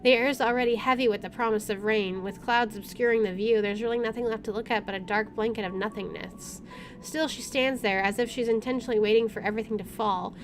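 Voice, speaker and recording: A woman's voice: on the high side, fairly even intonation, fast talker, a little hiss or hum. A woman hosting a playthrough, reading game text aloud and commenting casually. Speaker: gameplay host.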